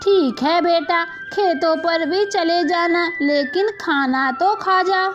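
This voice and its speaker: A woman playing a fretful, doting village mother in an animated moral story. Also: very high-pitched, takes it slowly. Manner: complaining, fussing. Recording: muffled lo-fi audio with music.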